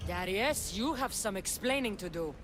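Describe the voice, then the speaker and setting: A woman's voice: mid-range voice, expressive, normal speed, slight background noise, lightly acted. A woman playing a bold, wry, hardened mercenary heroine in a dramatic video game cutscene. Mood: accusing.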